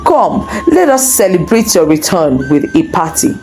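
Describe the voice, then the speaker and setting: A woman's voice: a little high, huge pitch swings, unhurried, darkish tone, soft background music. A woman voicing a loving, forgiving father in a folktale, tender and full of exclamation. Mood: joyful, tender.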